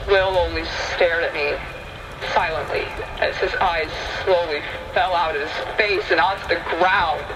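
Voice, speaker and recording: Slow, high-pitched man telling horror. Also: fairly even intonation, noisy background. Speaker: storyteller. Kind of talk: scripted first-person horror narration. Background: rain and thunder ambience.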